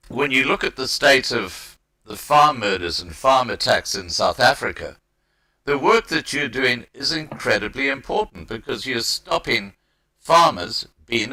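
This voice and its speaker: A male host interviews conversationally on a live stream. His voice is bright and forceful, with fairly animated pitch at an ordinary height. He often doubles words as he builds toward a question. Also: low-quality recording. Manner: halting, repeats words as he builds a point.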